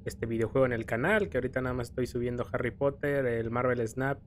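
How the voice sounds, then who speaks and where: A man's voice: medium pitch, fast talker, a little hiss or hum. A man with a Mexican accent giving casual, informal let's-play commentary as host of a gaming channel.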